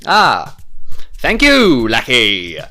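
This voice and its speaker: A man's voice: medium pitch, huge pitch swings, extremely slow, sharp tone, soft background music, exaggerated voice. A man voicing a lordly male tavern patron with a mock-courteous, hesitant way of talking. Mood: mock-grand.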